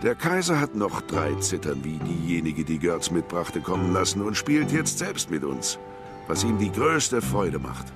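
An actor playing an admiring, genteel companion of the Kaiser, reading a diary in a radio drama. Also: male voice, low voice, big pitch swings, unhurried, loud music behind the voice. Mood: pleased.

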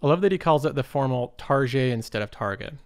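A man's voice: mid-range voice, animated, fast. A young man hosting an investing show, explaining a company in a casual, upbeat, step-by-step way over slides. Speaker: investing channel host.

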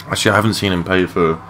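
Low-voiced young man, casual and sweary. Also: a bit bright. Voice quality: low-pitched voice. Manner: rambling, sweary, matey. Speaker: gaming video creator. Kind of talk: casual unscripted gameplay commentary. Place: his room at home. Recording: clearly noisy home mic recording.

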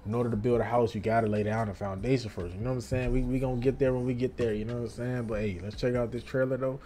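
A man's voice: medium pitch, racing, a little hiss or hum. Young American man, slangy reaction commentary. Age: young adult. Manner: animated exclamations, repeats phrases. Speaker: reaction video host. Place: small bedroom at home.

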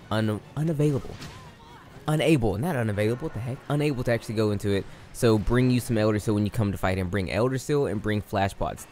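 A man with a slightly low, hoarse voice, worn from losing it. He talks loosely and slangily, stumbling and correcting himself mid-sentence. There is a faint noise floor under the recording. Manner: rambling, self-correcting, slangy. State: losing his voice. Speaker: gaming YouTuber. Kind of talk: casual game commentary.

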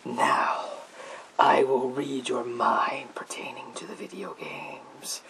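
A man playing a sinister psychic mind-reading soldier in a gas mask, in a theatrical, drawn-out, whispered ASMR roleplay. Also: mid-range voice, expressive, gravelly, very noisy. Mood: menacing, hypnotic. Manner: theatrical, slow, drawn-out, repetitive phrases.

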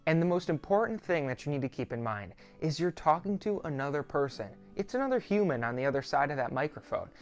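A man's voice: a little high, big pitch swings, fast talker, few pauses, faint music behind. A sixteen-year-old young man talking to camera as a host, explaining things in a reassuring, conversational way. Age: 16, teenager. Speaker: student pilot YouTuber, host. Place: small quiet home office, indoors.

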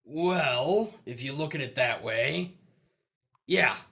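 A man playing a dead, abusive hustler of a husband in an audio drama, pleading and justifying himself in a gravelly voice. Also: a little high, lively intonation, takes it slowly, bright, forceful tone, dull audio, heavily acted. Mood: self-justifying.

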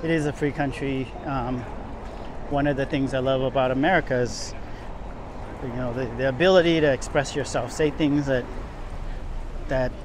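A man's voice: mid-range voice, steady tone, moderate pace, long pauses. A man giving a casual, rambling walking tour as a vlogger, often repeating and rephrasing himself as he goes. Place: outdoors, walking on downtown sidewalks. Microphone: handheld camera while walking. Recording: clear outdoor city street noise, traffic.

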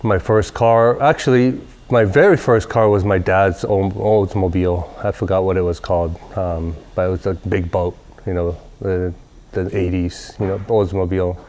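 Low-voiced man in his forties from Southern California, laid-back interviewee with a wandering delivery, recorded seated in a workshop. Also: normal speed, a little hiss or hum. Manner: relaxed, rambling with many fillers. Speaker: company president. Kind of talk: casual interview. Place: large workshop garage, indoors.

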